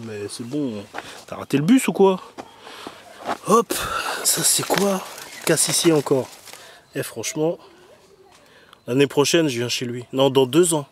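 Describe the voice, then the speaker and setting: A man's voice: on the high side, a little hiss or hum. A man chatting casually and slangily in a teasing, mock-complaining way as he films outdoors on a handheld camera. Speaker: vlogger filming a garden. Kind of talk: casual rambling video commentary. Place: outdoors in an overgrown garden. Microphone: handheld camera mic.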